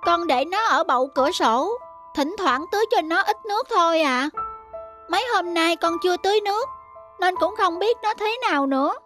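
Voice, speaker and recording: Cartoon schoolboy, young and gentle, very high childlike voice, plaintive, self-pitying, music underneath. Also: female voice, expressive, moderate pace. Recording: music behind the voice.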